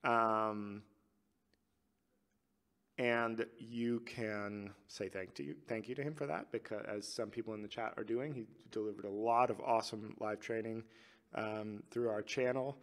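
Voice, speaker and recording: A man's voice: fairly low pitch, fairly even intonation, measured pace, a bit bright. A man hosting a live training stream, chatting loosely with viewers and reading their chat aloud. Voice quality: gravelly. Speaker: livestream host.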